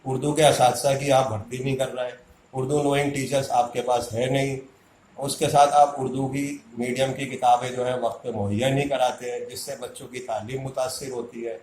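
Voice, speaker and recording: A man's voice: medium pitch, little pitch movement, a little fast, a little mellow, echoey room, slight background noise, low-quality recording. A man makes an indignant public statement as a community activist, arguing hard and firing off rhetorical questions.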